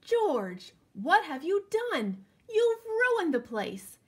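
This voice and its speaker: A woman's voice: very high-pitched, sing-song, very slow, voice pushed up, exaggerated voice. A woman voicing a dog's caring male owner from a picture book, with emphatic delivery. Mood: exasperated.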